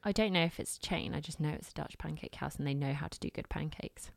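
A woman's voice: sits low, fast talker, vocal fry, dry recording. A woman in casual chat as a co-host, teasing and easygoing. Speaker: podcast co-host.

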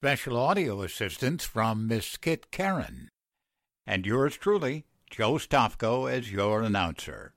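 A male announcer in the old-time radio manner, reading formally. His mid-pitched voice is measured and slow with lively rises and falls, recorded dry. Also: no room sound. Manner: formal, deliberate.

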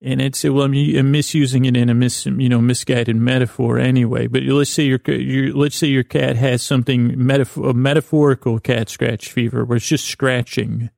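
A man hosting a bedtime podcast, talking in a halting, meandering, improvised monologue with a creaky voice that drifts into tangents. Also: medium pitch, flat delivery, a little mellow, no room sound, clean studio sound. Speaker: host of a bedtime story podcast. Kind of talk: rambling, improvised sleep-aid monologue.

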